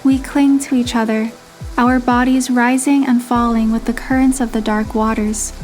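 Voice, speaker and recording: A woman's voice: on the high side, little pitch movement, moderate pace, darkish tone. A woman reading scripted, dramatic story narration. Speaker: narrator and voiced player character of a game story. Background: music behind the voice.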